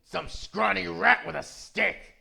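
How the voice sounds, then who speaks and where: A man voicing a big bullying bulldog gangster from a video game, blustering in drawn-out indignation. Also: high-pitched, creaky, pushed and bright, heavily acted. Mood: indignant, bullying. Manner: blustering, drawn-out indignant tough-guy talk. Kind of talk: video game villain fan voice-over.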